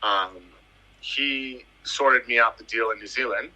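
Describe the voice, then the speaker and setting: A man's voice: mid-range voice, a little slow, sharp tone, low-quality recording. A man in his thirties from the US Virgin Islands, a footballer telling his career story in a casual interview, emphatic and fond of repeating phrases. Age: 30s. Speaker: footballer guest.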